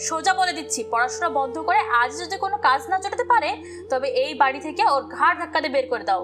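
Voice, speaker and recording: A woman acts out a cruel village stepmother from a cartoon tale. Her high voice scolds with biting sarcasm, with music clearly behind it. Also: expressive, rapid-fire. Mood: angry. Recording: music under the voice.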